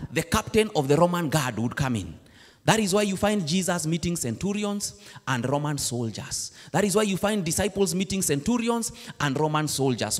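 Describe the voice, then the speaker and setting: A man with a Kenyan accent preaching a sermon in a church, switching between English and Swahili slang. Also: sits high. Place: church hall. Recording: long church reverb.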